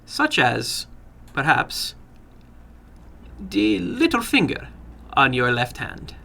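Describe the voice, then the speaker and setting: Casting: a man playing a sinister little foreign gambler for a horror story, raised pitch, soft, polite, coaxing, foreign accent. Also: wildly swooping pitch, gravelly, heavily acted. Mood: calmly menacing. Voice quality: soft, toneless. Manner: slow, courteous, coaxing, broken English. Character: funny little foreign gambler, soft-spoken, slyly menacing.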